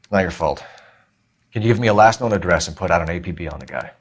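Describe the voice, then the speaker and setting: Deep-voiced young American detective. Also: male voice, expressive, quick pace. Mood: brisk, businesslike. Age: young adult. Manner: clipped, confrontational, formal. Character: earnest, dogged young male police detective. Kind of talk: dramatic video game acting.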